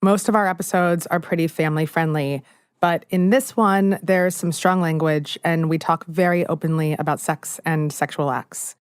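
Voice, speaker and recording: A woman with a low-pitched voice narrates her podcast in a conversational, lightly wry way. Her delivery is scripted but relaxed, and she sounds like she is telling a story. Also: normal speed, smooth, no room sound, clean studio sound. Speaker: podcast host. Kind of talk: scripted narration mixed with interviewing.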